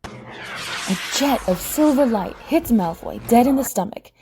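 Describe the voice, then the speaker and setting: A woman's voice: on the high side, lively intonation, a little slow, warm tone, slight background noise. A woman narrating a scripted audio drama as storyteller.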